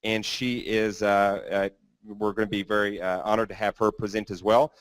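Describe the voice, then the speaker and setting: A man's voice: fairly low pitch, level tone, normal speed, no echo. A man hosting a business conference, introducing speakers in a loose, rambling way with some fillers. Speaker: event host.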